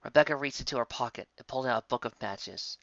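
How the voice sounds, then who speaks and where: Bright, forceful male story narrator. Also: mid-range voice, fast, vocal fry, no room sound, dull audio. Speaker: fan fiction reader. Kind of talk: dramatic scripted story narration.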